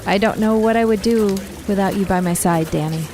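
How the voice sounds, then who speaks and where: A woman's voice: medium pitch, fairly quick, noisy background, close to an everyday voice. A woman playing a tough, guarded commander of a survivor militia in a radio drama, speaking tersely and with command. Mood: weary, wry. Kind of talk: post-apocalyptic radio drama acting.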